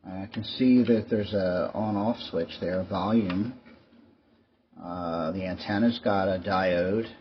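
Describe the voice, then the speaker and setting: A man talks casually through a product on his video channel, in a rambling and resigned tone with dry humor. His voice sits a little low. The recording is dull, with noticeable noise behind him. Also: fairly even intonation, slow pace. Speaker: YouTube host. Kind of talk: casual product show-and-tell vlog. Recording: muffled lo-fi mic with clear background noise.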